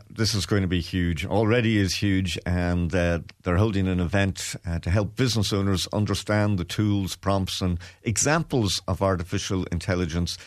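A man presenting a radio business segment, reading event details in a steady, informative way with frequent pauses. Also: deep voice, no echo, clean studio sound. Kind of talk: radio chat, reading news items. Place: radio studio.

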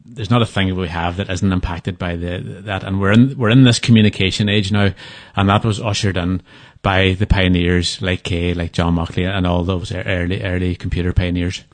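A man talks in a fairly low voice, stopping and restarting often as he recalls details. The sound is close and dry, with little room around it. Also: fairly even intonation, moderate pace, dry recording. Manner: hesitant, many restarts and fillers. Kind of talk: casual interview answers.

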